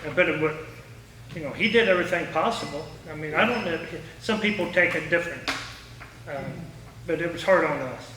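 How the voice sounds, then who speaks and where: A middle-aged or older American man with a Midwestern accent, reminiscing informally to a local audience in a rambling, halting way. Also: a little high. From small-town Indiana, United States. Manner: rambling, halting, jumps between thoughts. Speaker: retired air traffic controller giving a talk. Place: echoey meeting room at a historical society. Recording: roomy, distant mic with faint noise.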